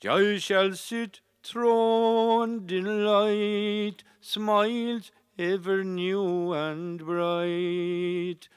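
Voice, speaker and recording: Woman, very slow, pure resonant tone, solemn, lyrical, mournful, dry sound. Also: medium pitch, fairly even intonation, clean tone, dry recording. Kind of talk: slow, lyrical rendition of an old patriotic lament.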